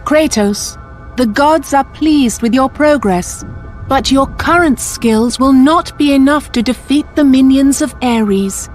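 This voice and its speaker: An actress playing an imperious goddess of love in a video game, speaking in a commanding, formal, theatrical manner. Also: female voice, sits high, slow pace. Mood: commanding, enticing. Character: imperious Greek love goddess granting deadly powers. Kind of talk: dramatic video game acting. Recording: clear music behind.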